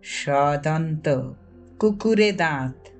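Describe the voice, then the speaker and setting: Woman voicing a sly, bossy older lad, slow and theatrical. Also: low-pitched, expressive, faint music behind, voice pushed down, heavily acted. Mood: knowing, scornful. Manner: knowing, teasing, scornful.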